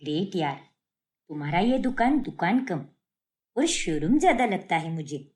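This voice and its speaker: A woman's voice: fairly low pitch, sing-song, measured pace, darkish tone. A woman voicing a friendly, chatty young student in a cartoon story, breezy and casual. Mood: delighted. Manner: breezy, casual, English slang mixed in.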